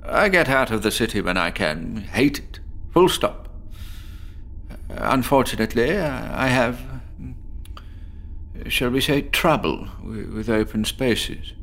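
Guy doing a chatty nervy male patient in a horror audio play, voice swoops around, bit of fry. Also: medium pitch, lively intonation, slow, pauses often, fairly bright tone. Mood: confiding. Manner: theatrical, rambling, with nervous chuckles. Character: chatty, nervously jovial male psychiatric patient obsessed with apples. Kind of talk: dramatic monologue in a horror audio drama.

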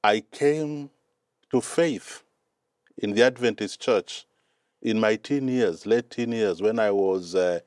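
A man's voice: lowish voice, slow pace, a little mellow, dry recording, clean studio sound. A man from Kenya with a Kenyan accent, speaking as a guest in a conversational interview, earnest and often repeating words as he gathers his thoughts. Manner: earnest, repeats words while finding them. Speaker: guest, mission director.

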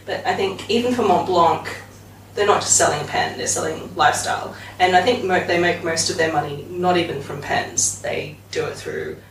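A woman's voice: on the low side, normal speed, gravelly. Echoey, distant Australian woman host. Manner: thoughtful, summing up with frequent ums. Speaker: podcast host. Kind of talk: casual chat. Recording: echoey, distant mic with faint hiss.

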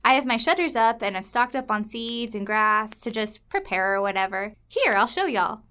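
A woman's voice: fairly high pitch, lively intonation, moderate pace, pushed and bright, smooth. A woman playing a chatty, naively optimistic bird in a comic audio drama, telling the story in a breezy, self-important way.

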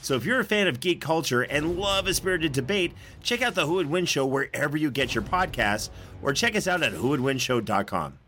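A man's voice: on the high side, sing-song, a little fast, bright, forceful tone, slight background noise. A man co-hosting a podcast, delivering a scripted promo. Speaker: podcast co-host.

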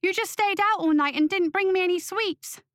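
Woman as a high-pitched whiny little boy. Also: moderate pace, dry recording, clean studio sound. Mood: whiny protest. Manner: whining. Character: whiny, stubborn young boy, the son.

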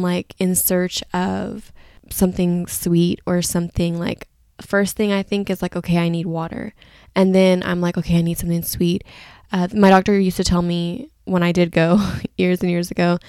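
A woman's voice: on the low side, a little mellow, clear, resonant tone, dry recording, studio quality. A woman hosting a podcast solo in a casual, chatty, rambling and candid way, with a slightly groggy voice. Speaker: podcast host.

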